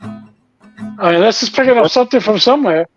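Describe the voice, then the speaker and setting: A man's voice: high-pitched, expressive, fairly quick, muffled recording, faint music behind. A man co-hosting a podcast, chatting casually about movies, joking and swearing a lot and laughing often. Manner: profane, jokey, laughs often. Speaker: podcast co-host.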